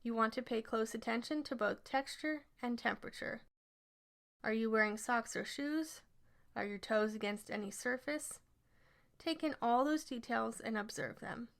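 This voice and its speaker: A woman leading a scripted guided relaxation exercise as an instructor, speaking in measured, instructional phrases. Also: a little high, vocal fry.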